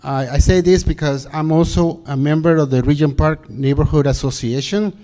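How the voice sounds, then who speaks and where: A middle-aged man from Peru, giving a hesitant campaign speech full of pauses and fillers at a community meeting. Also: a little high, steady tone, mellow. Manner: hesitant, many fillers and restarts. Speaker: council candidate. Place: community hall.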